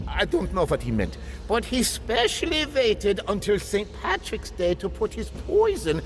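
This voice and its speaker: A shrill mad scientist in echoing space. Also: male voice, wildly swooping pitch, unhurried, a little hiss or hum, exaggerated voice. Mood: pleading, explaining. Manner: theatrical, indignant, formal wording. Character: eccentric mad scientist, proud of his poison, aggrieved. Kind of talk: exaggerated video game cutscene acting. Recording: long echoing reverb, music behind.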